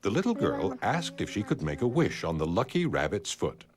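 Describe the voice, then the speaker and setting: Low-voiced deadpan man narrating, lively intonation. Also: normal speed. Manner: deadpan, wry storyteller. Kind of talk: scripted storybook narration for an animated short. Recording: music behind the voice. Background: music.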